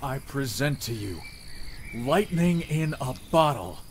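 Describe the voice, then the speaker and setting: A man's voice: medium pitch, animated, takes it slowly, a little hiss or hum. A man playing a dry, matter-of-fact, well-travelled village inventor in a visual novel. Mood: proud, musing. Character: dry, worldly village man, self-taught showman inventor.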